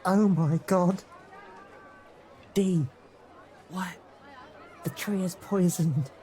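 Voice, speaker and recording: A man's voice: high voice, steady tone, drawn-out words, mellow, some chatter behind, slight background noise. A man improvising in character as a tabletop game player, sounding anxious and repeating himself.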